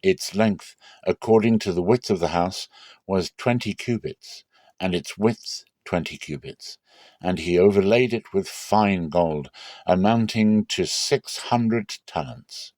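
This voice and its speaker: Male narrator, fairly low pitch, dramatic scripture reading, measured and solemn, dry close-miked sound. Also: darkish tone, no echo. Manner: solemn, measured, deliberate phrasing. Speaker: Bible reader.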